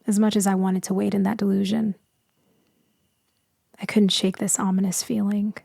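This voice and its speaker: A woman playing a gentle, melancholy immortal female vampire in a sleep ASMR roleplay, speaking softly and intimately, often slipping into a whisper. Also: mid-range voice, flat delivery, no room sound, clean studio sound. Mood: uneasy, somber. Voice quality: soft, breathy, often whispering. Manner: slow, hushed, wistful, intimate.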